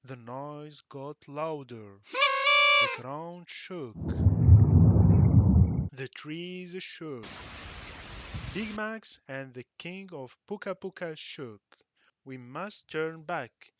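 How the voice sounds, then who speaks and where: A man's voice: a little high, expressive, extremely slow, pauses often, very noisy. A man narrating a children's bedtime story read aloud.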